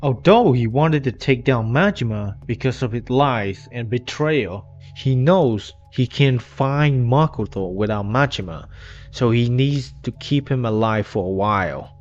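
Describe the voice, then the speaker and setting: A man's voice: medium pitch, animated, a little slow, muffled recording, faint music behind. Man narrating a scripted game retrospective. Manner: non-native-like English grammar. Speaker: video essay narrator. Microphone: inexpensive home microphone.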